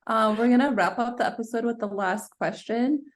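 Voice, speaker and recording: A woman's voice: fairly high pitch, a little fast. A woman co-hosting a podcast in casual interview style, affirming and chatty with her guest. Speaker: podcast co-host.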